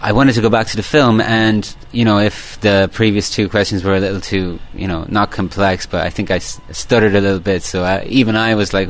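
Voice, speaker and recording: A man's voice: on the low side, rough recording. A man hosting a podcast, asking long, rambling questions with fillers. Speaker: podcast host. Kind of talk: interview questions.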